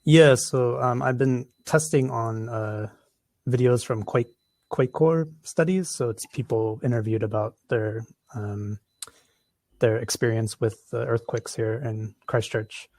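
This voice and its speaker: A man's voice: mid-range voice, unhurried, a little mellow, no room sound. A man with an American accent answering audience questions after a conference talk, with lots of ums and restarts. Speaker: conference presenter.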